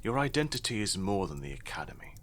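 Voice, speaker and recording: An actor playing a middle-aged, shrewd and dry-witted noble father in an audio drama, speaking in a measured, formal, wry way. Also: on the low side, big pitch swings, background noise. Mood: calm, probing.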